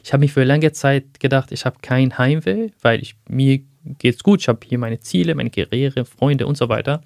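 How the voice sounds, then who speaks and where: Soft-spoken young man. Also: medium pitch, gravelly, no echo, studio quality. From Syria. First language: Arabic. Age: young adult. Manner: soft-spoken, reflective, halting. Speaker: guest. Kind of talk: personal interview.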